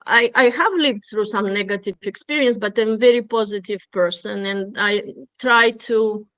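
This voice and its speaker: A woman's voice: medium pitch, moderate pace, a bit bright, no room sound. Middle-aged woman, not an english speaker, lots of ums and restarts, dry close sound. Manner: hesitant, many fillers and restarts. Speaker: webinar speaker. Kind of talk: conversational webinar talk.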